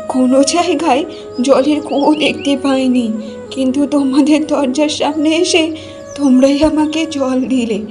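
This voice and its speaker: A woman performs a kindly old wandering granny from a cartoon folk tale. Her voice is high and soft-toned, slow and halting, with a tired, blessing tenderness. Music plays lightly underneath. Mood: grateful. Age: older. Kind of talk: cartoon fairy-tale character voice.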